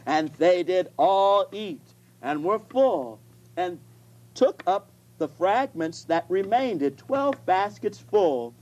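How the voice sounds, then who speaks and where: A reader delivering scripture in a deliberate manner, halting at times. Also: medium pitch, animated, darkish tone, dull audio. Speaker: Bible reader. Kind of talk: scripture reading.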